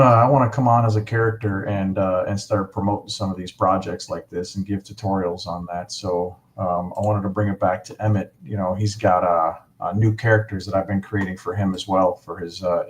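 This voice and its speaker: A man's voice: low voice, fairly even intonation, fairly quick, hardly any pauses. A man hosting a casual livestream, chatting enthusiastically and rambling with lots of fillers. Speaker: livestream host.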